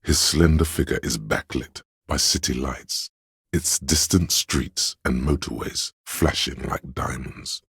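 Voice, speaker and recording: A very deep, gravelly, pompous aesthete. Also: male voice, a bit bright, no echo, studio quality, exaggerated voice. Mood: wry, haughty. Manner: pompous, literary, deliberate. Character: pretentious inner voice of an art-loving detective, grandiose and wry. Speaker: game narrator voicing a character's thoughts. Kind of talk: theatrical second-person game narration.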